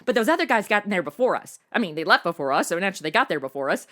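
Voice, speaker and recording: A woman playing a ditzy, brash young American in a comedy audio drama, slangy and excitable. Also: medium pitch, racing. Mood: wired, excitable. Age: young adult.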